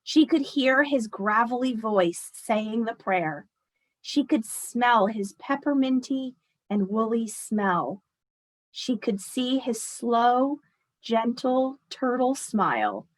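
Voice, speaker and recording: A woman reading a children's story aloud expressively, pausing to explain and speak directly to her listeners. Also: a little high, lively intonation, low-quality recording. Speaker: storyteller reading a picture book aloud to an audience.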